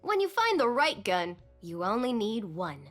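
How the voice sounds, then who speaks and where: A woman's voice: a little high, big pitch swings, moderate pace, a bit bright, soft background music. An actress playing a fearless, reckless little yordle gunner in a video game, with a perky girlish voice and cheeky, punchy delivery. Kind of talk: video game character voice lines.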